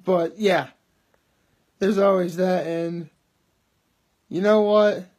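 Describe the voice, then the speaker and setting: A young man with a loud voice, chatting in an upbeat, rambling way for his daily video diary, filmed on a phone at arm's length in his living room. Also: high voice, fairly even intonation, slow pace. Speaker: vlogger. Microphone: phone camera held at arm's length.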